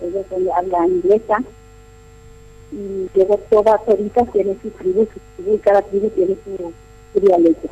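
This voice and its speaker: A woman's voice: mid-range voice, moderate pace, gravelly, phone-quality sound. A middle-aged nun answering interview questions in a chatty, explanatory way, often repeating her phrases. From Paraguay. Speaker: nun, missionary at a boarding school.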